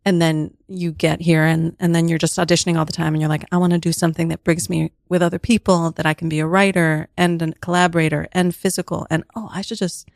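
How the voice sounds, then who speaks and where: A woman's voice: fairly low pitch, little pitch movement, quick pace, vocal fry, few pauses, no room sound, studio quality. A woman speaking as a podcast guest, telling her story casually and playfully, often repeating phrases for emphasis. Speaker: guest, mime performer.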